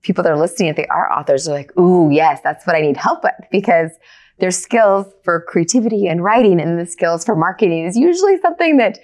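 A woman hosts a relaxed interview in a slightly low voice with very lively intonation. She is affirming and responsive, speaking conversationally and encouraging her guest. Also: brisk. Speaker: podcast interviewer and host.

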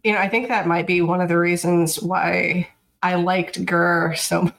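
A woman in her thirties co-hosting a podcast, talking in a flat, monotone, dryly sarcastic way. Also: fairly low pitch, vocal fry. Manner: dry, sarcastic. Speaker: podcast co-host. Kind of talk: casual chat.

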